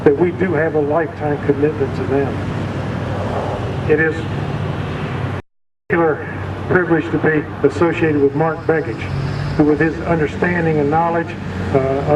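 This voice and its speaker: An earnest man makes a halting speech at an outdoor podium, pausing often on fillers. His voice sits a little high. The recording is dull and distant, with clear street noise behind it. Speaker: supporter speaking at a campaign event. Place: outdoors at a memorial.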